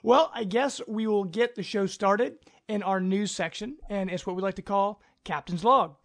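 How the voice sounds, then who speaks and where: A man's voice: sits high, moderate pace. A man hosting a casual podcast, talking in an enthusiastic, informal way. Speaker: podcast host. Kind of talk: casual gaming podcast chat.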